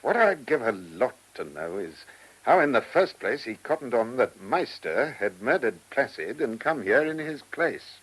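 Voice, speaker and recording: An actor playing a shrewd, gruff British police chief inspector in a radio play, with colloquial, musing speech. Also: male voice, medium pitch, sing-song, normal speed, a bit bright, low-quality recording. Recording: old radio drama recording.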